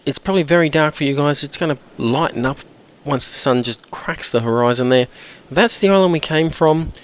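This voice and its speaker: A man with a hoarse, croaky voice from a cold, chatting casually while he plays and often clearing his throat. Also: a little high, a little fast. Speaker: gameplay commentator. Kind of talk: casual let's-play commentary.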